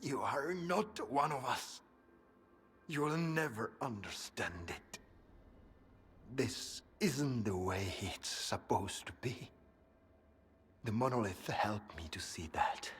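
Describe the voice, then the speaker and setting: A devout fanatic cultist in a game, talks slow n solemn, bit of background noise. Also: male voice, mid-range voice, lively intonation, fairly bright tone. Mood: grave, hushed. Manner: slow, solemn, fervent, dropping into whispers. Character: fanatical male cult follower, resigned and devout. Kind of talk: video game voice acting.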